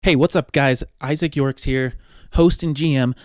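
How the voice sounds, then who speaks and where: A man's voice: medium pitch, fairly even intonation, normal speed, a little mellow, slight background noise. A man, chatty and informal as he hosts and narrates a tabletop role-playing podcast. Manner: chatty, informal, addressing listeners directly. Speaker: host and game master. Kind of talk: casual podcast talk and tabletop game narration.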